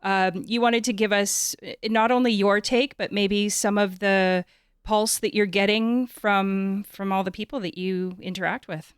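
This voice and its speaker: A woman's voice: mid-range voice, fairly even intonation, measured pace, clean tone, dry recording, clean studio sound. A Canadian woman hosting a conversational interview, informal and often searching for her words.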